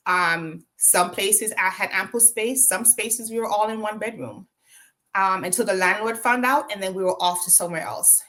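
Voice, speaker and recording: A woman's voice: medium pitch, normal speed, sharp tone. A woman from Jamaica with a Jamaican accent, telling her own story as an interview guest, reflective, with frequent ums and tag questions.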